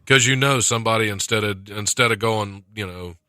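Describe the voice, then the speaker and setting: American male radio host, sharp forward voice, rambling self-deprecating comic talk, dry close studio sound. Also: lowish voice, a little fast, no echo. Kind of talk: casual comedy talk.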